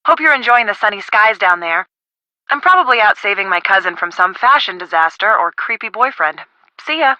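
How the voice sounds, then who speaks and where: A young game character with a very bright, dry voice. She is friendly and chatty, like a casual message left for a pal. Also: mid-range voice, lively intonation, a little fast, no echo, muffled recording. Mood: breezy, playful.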